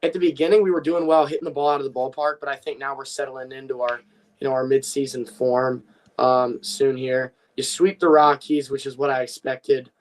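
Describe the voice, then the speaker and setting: A man's voice: mid-range voice, level tone, rough recording. Young male co-host, voice worn from a game. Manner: casual, halting sports recap. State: slightly lost voice after a game. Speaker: podcast co-host. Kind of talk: casual sports podcast talk.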